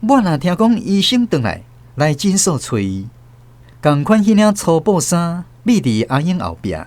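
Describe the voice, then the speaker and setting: A man narrating a radio drama as host, with expressive storytelling. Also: fairly high pitch, moderate pace, dry recording.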